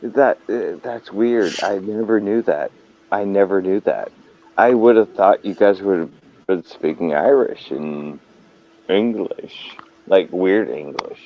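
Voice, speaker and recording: A man's voice: deep voice, slow pace, highs cut off. A man hosting a casual live chat, rambling and hesitant as he talks. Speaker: host.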